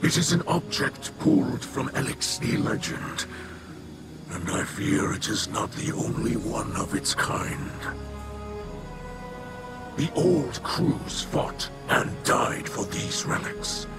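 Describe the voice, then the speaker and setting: A man playing a solemn, troubled alien captain in a video game, with a gravelly voice and grave, formal, halting speech. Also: mid-range voice, big pitch swings. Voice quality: gravelly, creaky. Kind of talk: scripted video game dialogue. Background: music.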